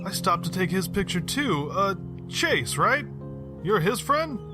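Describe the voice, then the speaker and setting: A man's voice: high voice, sing-song, unhurried. An actor playing a chatty, friendly, folksy local man in an audio drama. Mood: friendly, curious. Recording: music playing under the voice.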